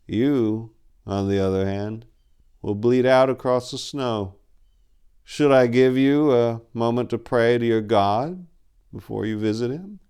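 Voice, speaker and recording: A man's voice: mid-range voice, unhurried, a little mellow, smooth, natural voice. A man voicing a boastful vampire villain in a storybook reading, with smug, mocking menace. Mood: taunting. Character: sinister, boastful, taunting male vampire villain.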